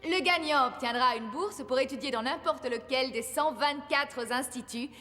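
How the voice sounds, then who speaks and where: A woman's voice: high-pitched, normal speed, bright, forceful tone. A woman playing a formal award presenter in a cartoon, giving a ceremonial speech. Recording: reverberant hall, music and crowd behind.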